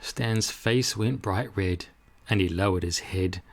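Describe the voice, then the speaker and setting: A man reading a rhyming children's story aloud in an expressive, rhythmic, dramatic way. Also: sits low, measured pace, vocal fry.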